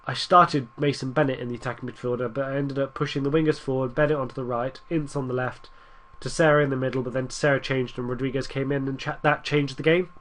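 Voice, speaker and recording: A man's voice: medium pitch, a bit bright. A young man with a stuffy nose from a cold, rambling through casual commentary over a football management game and urging his team on. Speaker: gaming YouTuber.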